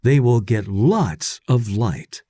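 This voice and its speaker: A man voicing a practical, determined young girl in a children's storybook, with plain, deliberate phrasing. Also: medium pitch, animated, mellow, breathy edge, no room sound, clean studio sound. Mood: keen.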